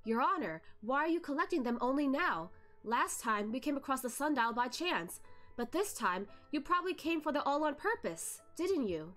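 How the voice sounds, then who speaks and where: A woman reading as a sharp, needling young man. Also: high-pitched, sing-song, normal speed, faint music behind, pitched above the performer's own voice. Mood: pressing. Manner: probing, pointed questioning. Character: sharp-witted, teasing young male investigator.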